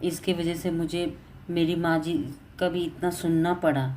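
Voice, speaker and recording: A woman's voice: fairly low pitch, flat delivery, unhurried, darkish tone, lightly acted. A meek young daughter-in-law, played by a woman storyteller, dutiful and easily hurt. She speaks respectfully and pleadingly, as in a family story, over some background noise. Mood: hurt, self-pitying. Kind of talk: dramatised storytelling.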